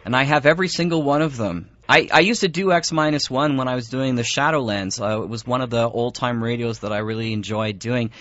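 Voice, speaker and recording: A man's voice: mid-range voice, a little fast, few pauses, fairly bright tone. A man hosting an audio drama show and interviewing a guest. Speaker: radio show host. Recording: dry, slightly muffled studio audio.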